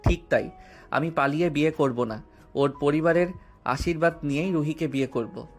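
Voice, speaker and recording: A man acting a tender, earnest lover in a romantic audio drama, with soft music behind. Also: on the high side, moderate pace. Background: soft background music.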